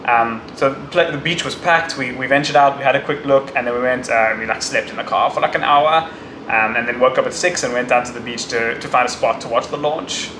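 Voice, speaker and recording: A man's voice: medium pitch, fairly quick, roomy sound. A man vlogging, talking casually with fillers as he recaps a trip, recorded outdoors on a handheld camera. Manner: excited shouting and cheering during launch. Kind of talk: casual travel vlog. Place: outdoors on a crowded beach. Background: crowd cheering and outdoor noise.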